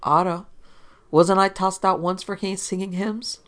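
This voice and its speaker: A man's voice: high-pitched, fairly even intonation, a little slow, a bit bright, clean tone, pitched above the performer's own voice. A man voicing a tipsy, rambling newspaper reporter who banters with mock innocence in a pulp detective audiobook. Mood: flippant, tipsy. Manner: slack, joking, mock-innocent drunken banter.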